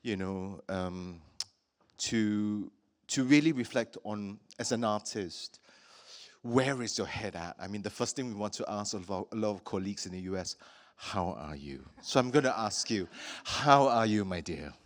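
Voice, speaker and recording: A man from Singapore hosting a public talk with an artist, speaking thoughtfully with many pauses and fillers. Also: fairly low pitch, expressive. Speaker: host.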